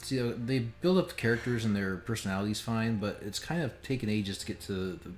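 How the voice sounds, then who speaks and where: A man with a low voice chats through a live game stream in a rambling, opinionated way. The room is echoey, and game music plays faintly behind him. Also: a little fast. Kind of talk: casual live stream commentary. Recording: roomy sound under game audio.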